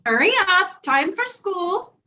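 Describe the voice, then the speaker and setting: A woman plays a practical, fretting middle-aged mother in a staged comedy reading. Her voice is high and swoops around, with a slow, protective delivery. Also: big pitch swings, sharp tone, full character voice. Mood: calling out. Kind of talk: readers theatre comedy reading.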